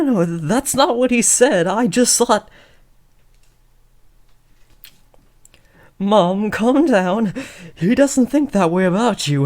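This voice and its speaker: A man's voice: sits high, darkish tone. A young man acting an awkward, earnest teenage boy who is trying to be a supervillain, hesitant and often correcting himself, in a comedic audio roleplay. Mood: flustered pleading.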